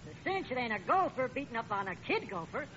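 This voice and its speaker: Pompous lodge official, lively pitch. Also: male voice. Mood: suspicious. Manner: pompous, ungrammatical, halting. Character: self-important, suspicious working-class lodge official. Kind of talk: old-time radio comedy acting. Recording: muffled, noisy old radio recording with audience.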